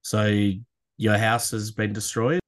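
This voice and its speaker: A man hosting a podcast, soft-spoken and gentle in a casual interview. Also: on the low side, flat delivery, takes it slowly, dry recording. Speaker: podcast host.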